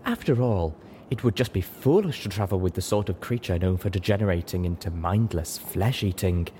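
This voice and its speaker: Man doing a drawling, ladylike, lively-pitched unicorn mare. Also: brisk, slight background noise. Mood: sarcastic. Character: ladylike, sardonic female unicorn, elegant and catty.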